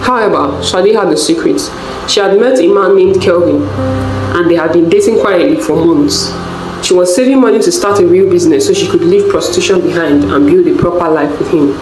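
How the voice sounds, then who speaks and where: Woman narrating a story, roomy, low-pitched. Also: a little fast. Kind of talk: scripted folktale narration. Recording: roomy echo, music bed. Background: background music.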